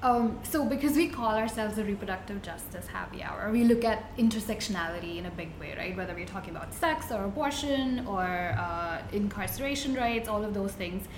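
A woman's voice: medium pitch, lively intonation, fairly quick, few pauses. A woman hosting a live interview, asking questions and chiming in with agreement. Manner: engaged, frequent agreeing backchannels. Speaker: host. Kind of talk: interviewing, casual chat. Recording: roomy live recording, faint noise.

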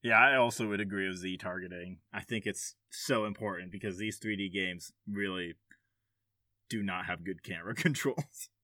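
A man's voice: sits low, moderate pace, pushed and bright, no echo. A man hosting a podcast in casual, jokey conversation, swearing freely. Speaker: podcast host. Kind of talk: casual chat.

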